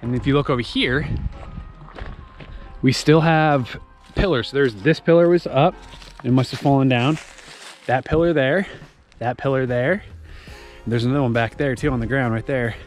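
A man in his thirties talks to a camera held at arm's length as he walks an outdoor mountain trail. His voice sits at an ordinary pitch, and he comes across as chatty and easily delighted. Soft music plays faintly underneath. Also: moderate pace, pauses often. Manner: enthusiastic, chatty, exclaims often. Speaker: vlogger. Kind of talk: casual walking vlog narration.

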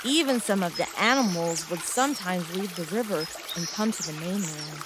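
A woman reading a scripted moral story for children in a deliberate, expressive storytelling manner. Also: on the low side, heavy background noise. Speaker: narrator.

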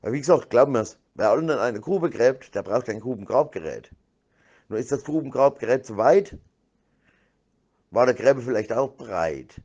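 Man, medium pitch. Slangy, sweary, repetitive rambler who drifts into improvised rhyme. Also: lively intonation, normal speed, a little mellow, highs cut off.

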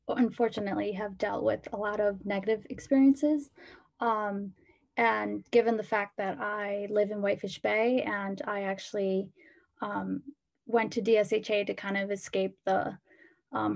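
A teenage woman from Ecuador, a student panelist, giving earnest personal testimony over a video call, with frequent pauses. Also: medium pitch, gravelly, darkish tone.

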